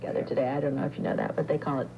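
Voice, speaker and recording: Low-voiced, quick-talking woman on talk radio. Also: little pitch movement, muffled recording. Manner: earnest explanatory delivery. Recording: noisy radio broadcast.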